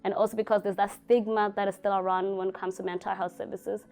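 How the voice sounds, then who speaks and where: A woman with a smooth, resonant voice talks in an interview. She tells her story in a lively way at a middle pitch. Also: fast talker. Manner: animated storytelling, laughs between words. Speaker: PhD student in counseling. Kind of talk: interview testimony.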